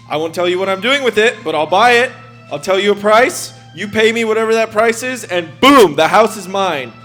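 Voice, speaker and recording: A raised, high male voice , put on by a man, as a brash and shady pitchman. He sells emphatically in a parody radio commercial, with faint music behind. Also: a bit bright. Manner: punchy, emphatic salesman delivery.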